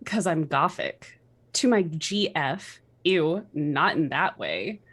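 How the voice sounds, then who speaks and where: Woman reading as a slow, touchy teenage goth author. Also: sits low, big pitch swings, slightly rough, voice pushed down, exaggerated voice. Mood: gushing, dramatic. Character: gushing, touchy teenage goth girl writing author's notes. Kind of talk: dramatic reading of fan fiction.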